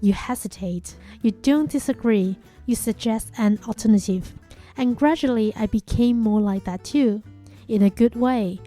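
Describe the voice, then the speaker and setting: A young woman whose first language is Chinese hosts a podcast in a calm, gentle, reflective way. Her voice sits fairly high but sounds mellow and warm. Soft music plays faintly behind her. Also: unhurried. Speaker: podcast host. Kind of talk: reflective scripted monologue.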